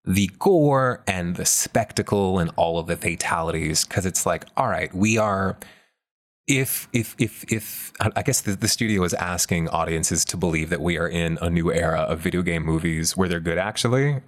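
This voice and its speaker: A man's voice: low voice, fairly bright tone. A man co-hosting a casual, analytical discussion, thoughtful and often repeating words as he works out a point. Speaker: talk show co-host.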